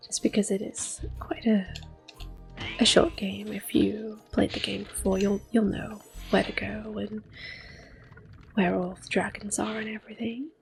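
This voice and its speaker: Female ASMR gaming host, 30s: soft whisper, gravelly fry, slow. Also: mid-range voice, flat delivery. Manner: whispered, unhurried, gentle. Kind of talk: soft-spoken ASMR game commentary. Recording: soft game music in background.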